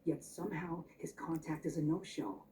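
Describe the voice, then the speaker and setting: A woman's voice: deep voice, normal speed, mellow, close to an everyday voice. A woman voicing a blunt, brooding young loner in a video game, terse and dry but grudgingly helpful. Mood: suspicious. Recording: distant, noisy captured game audio.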